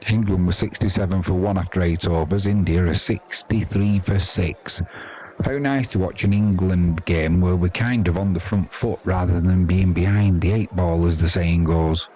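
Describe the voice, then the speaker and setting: A man's voice: sits low, moderate pace, dark tone. A man giving informal live ball-by-ball cricket commentary in a wry, opinionated, colloquial and mildly sweary way. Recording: crowd noise behind the voice. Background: sports crowd.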